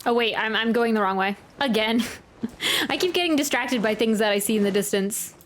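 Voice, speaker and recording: A woman's voice: on the high side, moderate pace, a bit bright, slight background noise. A woman doing casual gameplay commentary as a streamer, musing playfully and reading game text aloud. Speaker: gaming streamer. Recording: voice over game music. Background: game music.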